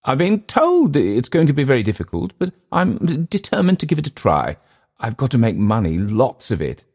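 A nervous young Englishman, acted out by a male narrator, pitched up high and eager. He is naive and apologetic, stumbling over his words in an English accent, like a character in an audiobook adventure. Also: huge pitch swings, moderate pace, darkish tone. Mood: eager, determined. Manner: hesitant, stammering, apologetic.

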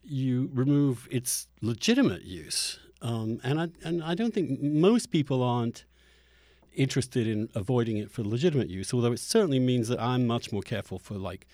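Middle-aged man, emphatic and halting, dry sound. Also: mid-range voice, lively intonation, dark tone, no echo. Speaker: podcast host. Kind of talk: conversational interview with opinionated commentary.

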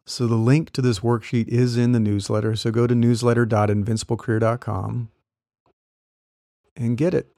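A man who works as a career coach, talking through ideas in a relaxed, explanatory way with small laughs, his voice a little worn as he says he is losing it. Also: mid-range voice, moderate pace, dry recording, clean studio sound. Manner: conversational, explanatory, light laughs. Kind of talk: solo podcast talk.

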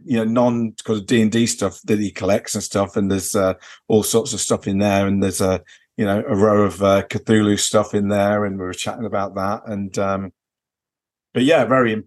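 A man's voice: lowish voice, fairly even intonation, moderate pace. A man chatting casually as a podcast co-host, hesitant and rambling as he recounts things, leaning on filler phrases. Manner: hesitant, rambling delivery full of "you know" and "kind of". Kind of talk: casual off-the-record chat, recounting a convention visit.

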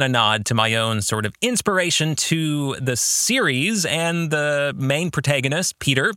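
An American man in middle age delivers a reflective podcast monologue as its host. His voice is bright and clear-cut, recorded dry and close. He explains things in measured phrases, his pitch moving in a lively way. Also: no echo.

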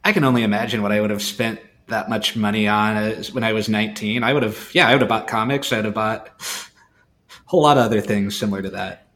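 A man's voice: fairly low pitch, steady tone, fast, fairly bright tone. A man co-hosting a podcast, explaining informally and often restarting his thoughts as he goes. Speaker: podcast co-host. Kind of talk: informal explanatory panel talk.

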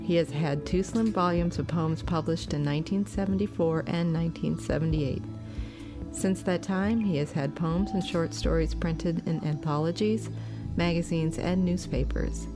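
A woman's voice: on the low side, fairly even intonation, moderate pace. A woman hosting a podcast, reading scripted announcements and introductions. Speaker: podcast host. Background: music.

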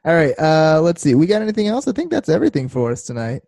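Mellow, dry-voiced joking podcast guy. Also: on the high side, fast talker, creaky, no echo. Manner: jokey, excitable, profane. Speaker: podcast co-host. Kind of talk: casual sports chat.

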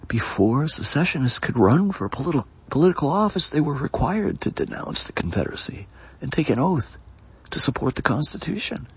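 A man performs an insistent male prosecutor in a dramatic reading. He pushes his voice up and rasps with formal, earnest emphasis. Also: on the high side, big pitch swings, fairly quick, a bit bright, no echo, pitched above the performer's own voice, full character voice. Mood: emphatic.

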